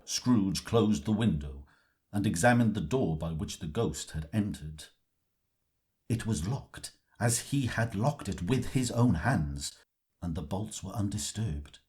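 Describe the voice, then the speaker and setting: A very low male voice narrates a dramatic story. He takes his time, giving the literary script a slow, measured delivery. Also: lively intonation, a little mellow.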